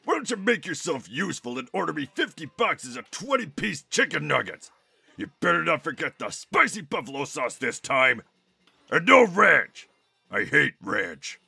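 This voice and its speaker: Monster turtle king, husky gravelly growl, gruff, boastful, short-tempered, cartoon style. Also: a little high, very animated, moderate pace, bright, forceful tone, no room sound, exaggerated voice. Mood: angry, bossy. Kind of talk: cartoon fan-dub character acting. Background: music.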